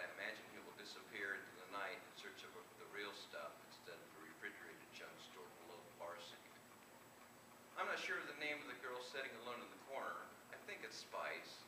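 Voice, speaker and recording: A man with a fairly low voice reads horror fiction aloud to present his own writing. He is recorded live in a roomy, echoing space, some way from the microphone. Clear noise sits behind his voice. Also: far from the mic. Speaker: author reading his work aloud. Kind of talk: live reading of first-person horror fiction. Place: indoor room at a convention reading.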